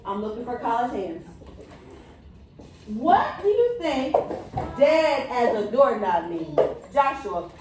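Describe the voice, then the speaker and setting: A woman's voice: a little high, big pitch swings, slow, pauses often. A woman teacher leading a classroom read-aloud and putting questions to her pupils. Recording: very echoey room, distant mic, faint noise.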